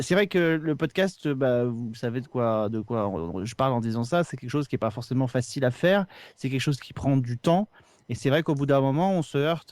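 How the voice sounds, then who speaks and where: Guy on a podcast, low-ish voice, dry close sound, keeps restarting his phrases. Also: darkish tone, dry recording. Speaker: podcast host and guest panelist. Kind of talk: casual podcast discussion.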